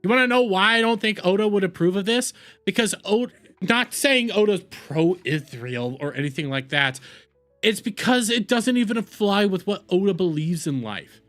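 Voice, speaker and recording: A man's voice: high voice, animated, normal speed, fairly bright tone, faint music behind. A man hosting a reaction video, ranting angrily with lots of swearing and repetition. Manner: heated, profane, repetitive ranting. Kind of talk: angry commentary rant.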